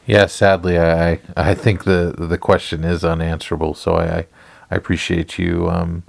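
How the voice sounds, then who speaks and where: Deep, gravelly male interviewer. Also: flat delivery, moderate pace. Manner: hesitant, repeats words while forming questions. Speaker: interviewer, moderator. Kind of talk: casual interview questioning.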